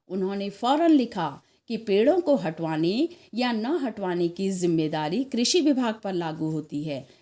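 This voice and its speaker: Slow, lively woman storyteller. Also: medium pitch. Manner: measured, acts out dialogue. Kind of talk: expressive scripted story narration.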